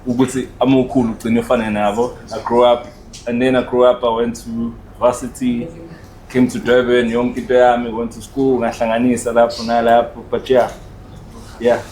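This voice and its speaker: A man's voice: mid-range voice, little pitch movement, normal speed, a little mellow. A South African man from rural KwaZulu-Natal, casually telling his own story as a guest and switching between two languages. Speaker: podcast guest. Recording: echoey room with noise.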